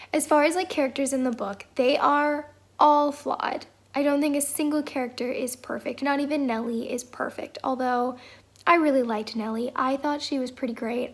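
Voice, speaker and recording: Home vlogger, thirties woman, hoarse from a cold, high voice, hesitant and rambling. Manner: rambling and hesitant, many fillers. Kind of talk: casual chat.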